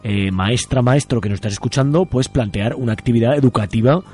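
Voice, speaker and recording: A man's voice: mid-range voice, a little fast, darkish tone, slightly rough. A man with an accent from Spain interviews on the radio in a casual, playful, coaxing way. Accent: Spanish from Spain.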